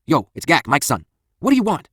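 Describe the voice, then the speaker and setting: A man's voice: medium pitch, animated, very fast, a bit bright, no echo, studio quality, voice pushed up. A man voicing a young street dealer, terse, casual and streetwise, in an audiobook story. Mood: firm.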